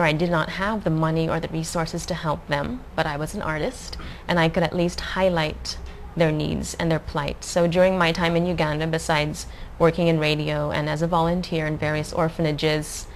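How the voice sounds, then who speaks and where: A young adult woman from the Philippines, a guest answering interview questions in a calm, measured way. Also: deep voice. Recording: faint background noise.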